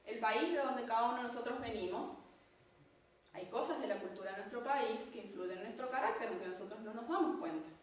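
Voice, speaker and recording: A woman from Argentina with an Argentine accent, giving a church teaching talk in an unhurried way, with fillers and restarts. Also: fairly high pitch. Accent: Argentine Spanish. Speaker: church speaker. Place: church hall. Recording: echoey hall, distant mic, faint noise.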